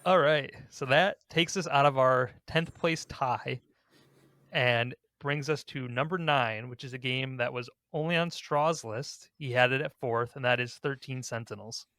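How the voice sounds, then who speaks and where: A man's voice: mid-range voice, unhurried, sharp tone, no echo, studio quality. A man hosting a podcast, talking casually in a discussion. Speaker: podcast host.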